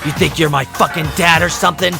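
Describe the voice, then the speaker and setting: A hot-headed young male delinquent acted by a man with a raised, gravelly voice. He is aggressive and confrontational in anime fandub style, with prominent music behind him. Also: a little high, fairly even intonation, quick pace. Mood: furious. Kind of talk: anime game fandub acting.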